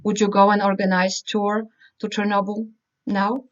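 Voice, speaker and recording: A woman's voice: medium pitch, little pitch movement, normal speed, muffled recording. A woman hosting a book event, reading prepared interview questions in a formal manner.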